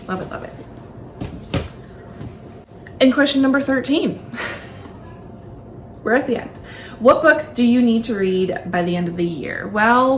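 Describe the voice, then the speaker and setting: A woman's voice: mid-range voice, poor audio. Chatty, enthusiastic woman vlogger with background noise. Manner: enthusiastic, informal, often gushing. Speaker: book reviewer hosting a video channel. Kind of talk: casual chatty book vlog. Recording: clearly audible background room noise.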